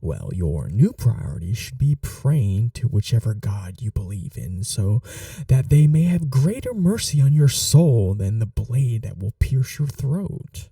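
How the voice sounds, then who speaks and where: A man playing a scheming young prince in an audio roleplay, speaking formally in a hushed, measured, imperious way. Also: mid-range voice, sing-song, no room sound, clean studio sound, far from the mic. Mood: stern, menacing. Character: scheming young prince, commanding yet tender to his bride. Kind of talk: dramatic ASMR audio roleplay.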